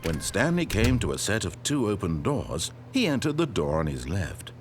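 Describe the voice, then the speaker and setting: An actor playing a dry, ironic male narrator of a video game, telling the story in a measured, scripted way. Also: low-pitched, wildly swooping pitch. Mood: wry. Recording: game audio with faint music.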